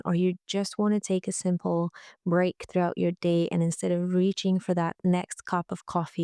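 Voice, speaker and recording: A woman leading a guided relaxation meditation in a gentle, soothing, unhurried way, with frequent pauses. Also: on the low side, creaky, smooth, no room sound, clean studio sound. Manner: gentle, soothing, pausing often. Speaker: yoga nidra guide.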